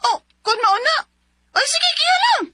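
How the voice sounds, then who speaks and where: A woman's voice: lively intonation, normal speed, sharp tone, heavily acted. A woman acting a defiant, emotional wife in a Filipino radio drama, with a Cebuano accent. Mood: upset, defiant. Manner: shrill, emotional, combative. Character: defiant wife cornered over debt and deceit.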